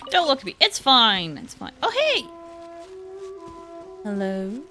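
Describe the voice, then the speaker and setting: A woman's voice: fairly high pitch, wildly swooping pitch, takes it slowly, over music. A woman giving casual live commentary as a video game streamer, playful and chatty.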